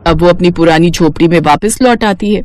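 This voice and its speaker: A woman's voice: fairly low pitch, fairly quick, a little mellow, a little hiss or hum. Woman narrating a moral story.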